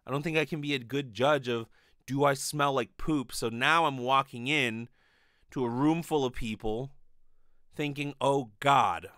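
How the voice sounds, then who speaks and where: A man in his thirties hosting a podcast, delivering an animated, rambling, sweary comedic monologue into a close studio microphone. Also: mid-range voice, dry recording. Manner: animated, profane, rambling storytelling. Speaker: podcast host. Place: small bedroom, indoors. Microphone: close desk studio microphone with pop filter.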